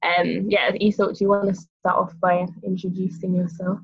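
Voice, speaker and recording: A woman's voice: fairly low pitch, little pitch movement, moderate pace, breathy edge. A young woman with an English accent hosting a panel in a casual, hesitant, informal way. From small countryside town on the east coast of the UK. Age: young adult. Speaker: seminar host. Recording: muffled, lo-fi online call audio.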